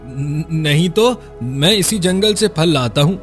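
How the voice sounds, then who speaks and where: A man's voice: fairly high pitch, lively intonation, takes it slowly, a bit bright, soft background music. A man playing a sly, greedy villager who schemes for money in a scripted cartoon story. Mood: evasive.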